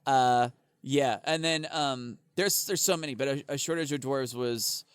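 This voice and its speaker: Excitable swearing man on a podcast. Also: medium pitch, unhurried, smooth, no echo. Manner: profane, excitable, stammering restarts. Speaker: podcast co-host. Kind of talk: casual podcast banter.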